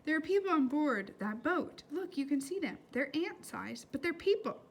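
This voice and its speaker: An earnest storybook girl, acted out by a woman reader, indignant and theatrical. Her pitch sits high and swings widely, and she leans hard on her words as she makes her case. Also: sing-song, normal speed. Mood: dismayed.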